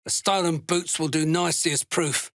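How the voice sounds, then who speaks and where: Gravelly, menacing dark dwarf slave hunter. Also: male voice, fairly high pitch, steady tone, normal speed, no echo, studio quality. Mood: gruff, curt. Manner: curt, threatening, contemptuous. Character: gruff, menacing duergar slave hunter, fanatical cultist. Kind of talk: video game character voice lines.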